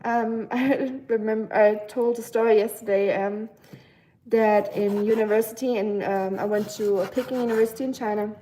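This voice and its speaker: A woman's voice: medium pitch, steady tone, normal speed, warm tone, slight background noise, poor audio. A young woman chatting casually on a live stream while cooking at home, reading comments with lots of fillers and giggly asides. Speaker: livestreamer.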